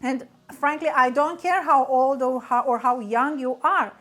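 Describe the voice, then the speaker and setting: A woman's voice: high-pitched, unhurried, a bit bright, faint music behind. Earnest woman coach. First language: Romanian. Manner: earnest, direct and encouraging. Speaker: business coach. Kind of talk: motivational address to camera.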